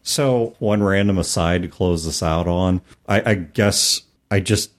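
A man's voice: deep voice, measured pace. A man hosting a podcast, talking casually and reflectively in a self-deprecating way. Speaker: podcast host. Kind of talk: casual tabletop gaming podcast talk.

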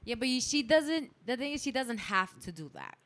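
A woman's voice: fairly high pitch, big pitch swings, normal speed. A woman in a casual podcast discussion, insistent and slangy as she makes her point. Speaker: podcast panelist. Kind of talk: casual group chat. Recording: group chatter around the voice. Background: overlapping voices.

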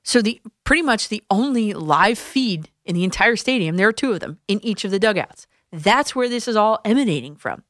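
A woman's voice: medium pitch, animated, brisk, hardly any pauses, fairly bright tone, no echo. Sports radio host woman, dry studio sound. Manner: conversational, explanatory, some restarts. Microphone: studio microphone.

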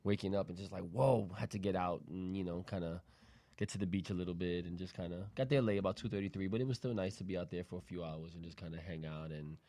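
A man's voice: low voice, fast talker, warm tone, no echo. A man from the Bronx, co-hosting a show with casual, colloquial, teasing banter. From Bronx, New York. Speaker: radio show co-host.

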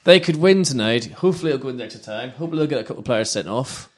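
A man hosts a casual football fan podcast, teasing his co-hosts as he runs through the topics. His voice sits at a middling pitch. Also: lively intonation, rapid-fire. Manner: teasing, jokey, drives the discussion. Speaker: podcast host.

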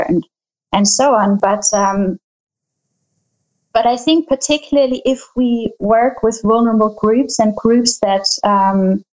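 A woman researcher answering a panel question, thoughtful and hesitant, with many pauses and fillers. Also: mid-range voice, darkish tone, rough recording. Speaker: panel guest, field researcher.

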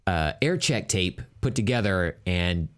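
A man's voice: low-pitched, lively intonation, measured pace, fairly bright tone, clean tone. A man co-hosting a podcast in casual chat, rambling and self-deprecating with jokes. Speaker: podcast co-host.

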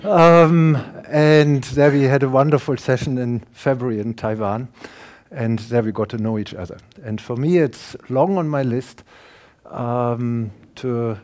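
A man with a German accent giving an earnest, explanatory public lecture, his voice swinging from quiet asides to emphatic points. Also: mid-range voice, steady tone, measured pace. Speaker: guest lecturer.

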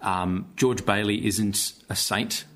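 Low-voiced Australian man, thoughtful talker. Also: steady tone, takes it slowly, fairly bright tone, a little hiss or hum. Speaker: theatre-maker, interview guest. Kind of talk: casual interview chat.

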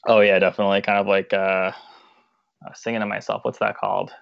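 A man's voice: fairly low pitch, level tone, quick pace, gravelly, fairly bright tone, no room sound, highs cut off. A man who is a guest on a podcast, chatting casually and searching for his words with many fillers. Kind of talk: casual interview chat.

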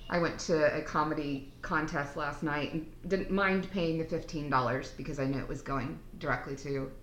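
A woman with a deep voice, a singer-songwriter chatting frankly and casually on a podcast. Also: moderate pace, roomy sound, slight background noise. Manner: relaxed, frank, swears casually. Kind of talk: casual chat.

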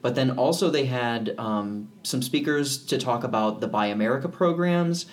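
A man co-hosting a podcast, talking in a relaxed, rambling way with many fillers and restarts. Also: medium pitch, moderate pace. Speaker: podcast co-host. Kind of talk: casual podcast chat.

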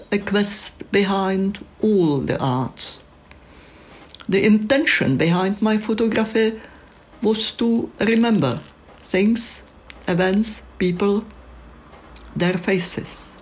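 Slow-speaking older Czech-accented woman. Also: medium pitch, darkish tone. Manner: slow, measured, thoughtful. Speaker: photographer. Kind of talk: reflective prepared monologue.

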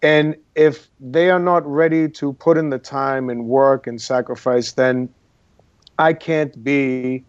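A man's voice: medium pitch, fairly even intonation, slow pace, no room sound, muffled recording. A man from Boston, an acting coach, talking earnestly as a guest in a casual interview and repeating words for emphasis. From Boston, Massachusetts.

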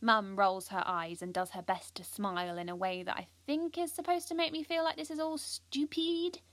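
An earnest schoolgirl played by a woman narrates her own life in an audio drama. She is driven and anxious, with a precise, intense way of putting things. The voice sits at a middling pitch in a very dry recording. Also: flat delivery, moderate pace, a bit bright, dry recording, lightly acted. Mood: wry, uneasy. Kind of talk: first-person audio drama monologue.

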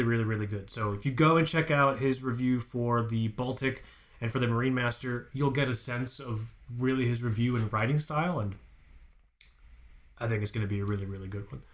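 A man's voice: mid-range voice, fairly bright tone. A man co-hosting a podcast in casual chat, hyped and profane as he plugs the website. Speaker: podcast co-host.

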